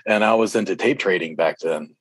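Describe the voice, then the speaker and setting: Very deep-voiced man in casual interview. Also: brisk, slightly rough, no room sound. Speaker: interview guest, rapper. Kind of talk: casual interview chat, reminiscing.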